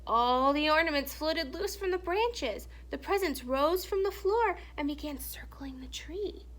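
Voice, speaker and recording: A woman reading a children's story aloud in an expressive, animated storytelling manner with hushed, dramatic phrasing. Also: sits high, unhurried, poor audio. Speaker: teacher or reader reading a children's picture book aloud.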